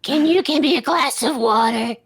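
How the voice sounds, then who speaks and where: A performer voicing a timid, scared little girl with drawn-out, childlike pleading in a comic story reading. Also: high-pitched, a bit bright, breathy edge, voice pushed up. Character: scared, quiet little girl calling for her daddy.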